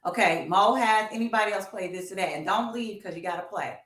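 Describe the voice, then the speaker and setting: A woman's voice: mid-range voice, fairly quick, a bit bright. A woman in her fifties co-hosting a casual livestream, talking animatedly and encouragingly straight to her viewers. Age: 50s. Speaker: co-host of a music talk livestream. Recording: roomy, echoey livestream sound.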